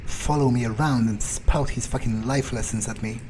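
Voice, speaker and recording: A man's voice: medium pitch, normal speed, a little mellow, slight background noise. A man playing a video game in character as a vampire, musing aloud in a smug, menacing, drawling way.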